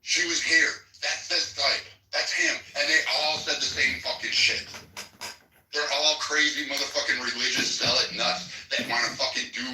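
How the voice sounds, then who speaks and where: A man's voice: medium pitch, steady tone, moderate pace, pushed and bright. Furious, profane man ranting in an echoey room. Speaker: participant in a live audio chat room. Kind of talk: heated profane argument. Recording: echoey, distant, faint music behind.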